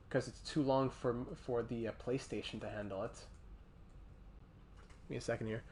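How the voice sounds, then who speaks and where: Male game streamer, mid-range voice, Montreal accent, casual and halting, thinks out loud between replies to chat. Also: medium pitch, a little hiss or hum. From Montreal, Canada. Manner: thinking aloud, halting, breaking off to reply to chat. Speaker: livestreamer hosting a hockey video game stream.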